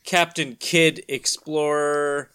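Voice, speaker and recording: A man's voice: on the high side, fairly even intonation, slow, pushed and bright, a little hiss or hum. A man co-hosting a podcast, chatting casually and turning theatrical when he reads comic-book narration aloud. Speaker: podcast co-host.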